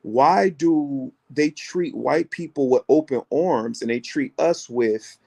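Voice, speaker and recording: A man's voice: medium pitch, takes it slowly, vocal fry, warm tone. An American man co-hosting a casual call-in show, building his point through repeated rhetorical questions. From United States. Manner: builds an argument with repeated rhetorical questions and acts out other people's voices. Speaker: travel show co-host.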